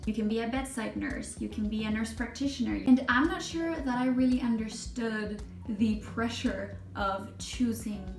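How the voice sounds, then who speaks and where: A young adult woman from Chile, a nursing vlogger, talking casually and expressively to camera, laughing often. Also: a little high. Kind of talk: casual vlog talk to camera. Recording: echoey room, mic at a distance.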